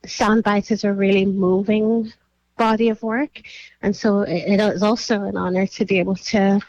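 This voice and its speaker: A woman from Nigeria, a curator and writer, answering interview questions thoughtfully with many pauses and fillers. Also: medium pitch, darkish tone, no room sound, highs cut off.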